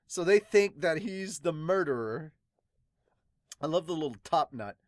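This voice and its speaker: A woman's voice: deep voice, expressive, measured pace, dry recording. A woman hosting an anime podcast, giggly and exclaiming as she reacts casually to a manga. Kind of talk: casual excited reaction and read-aloud.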